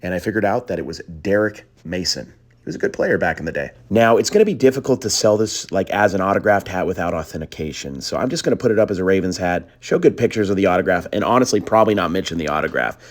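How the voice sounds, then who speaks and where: A man with a low, slightly worn voice narrates his thrift finds in a relaxed, chatty voiceover. He sounds tired late at night, wandering through his thoughts and calling the viewers guys. It is recorded close to the mic. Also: fast. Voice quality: deeper voice than usual. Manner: chatty, trails off and restarts, addresses viewers as guys. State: tired, voice a bit gone. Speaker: thrift reseller vlogger.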